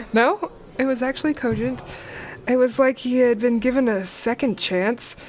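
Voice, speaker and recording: A woman's voice: a little high, a little slow, a little mellow, close to an everyday voice. A woman playing a candid, discreet crew member in a sci-fi audio drama. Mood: thoughtful, confiding.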